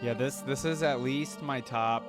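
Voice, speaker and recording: A man's voice: mid-range voice, a little slow. A man hosting a reaction video, giving casual, enthusiastic commentary and thinking aloud as he watches. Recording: loud orchestral music behind voice. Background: game trailer and theme music.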